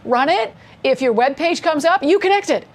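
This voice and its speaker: A woman with a somewhat high voice leads a relaxed coding lesson. She talks in a chatty, upbeat way and jokes easily with her co-host. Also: normal speed. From mother from Scotland. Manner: chatty, upbeat, laughs often. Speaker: coding instructor. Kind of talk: casual screen-share coding lesson.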